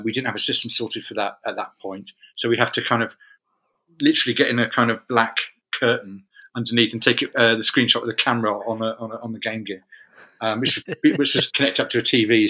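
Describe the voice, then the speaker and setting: A man's voice: fairly low pitch, fairly even intonation, quick pace, bright, forceful tone, slightly rough, low-quality recording. A middle-aged man from the UK chatting as an interview guest, rambling with lots of restarts. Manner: rambling, many restarts and self-corrections. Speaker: guest, games magazine editor. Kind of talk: casual interview chat.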